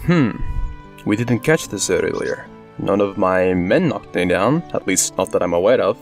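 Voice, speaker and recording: Man acting watch leader, low voice, plain businesslike reporting, fantasy radio drama, light music. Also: lively intonation, moderate pace. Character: matter-of-fact male leader of town watchmen.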